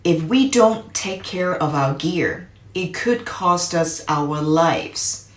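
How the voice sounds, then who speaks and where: Storybook uncle read by a woman: disciplined military man, serious mentor, measured pace, firm emphasis, echoey room. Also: on the low side, animated. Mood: stern. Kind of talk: storybook character voice.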